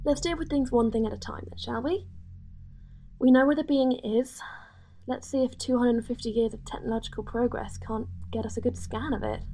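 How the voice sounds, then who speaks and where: Higher-voiced practical spaceship pilot. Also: female voice, fairly quick, mellow. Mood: wry, focused. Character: female alien cargo runner, seasoned, practical, wry spaceship pilot. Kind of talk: audio drama dialogue. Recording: faint background noise.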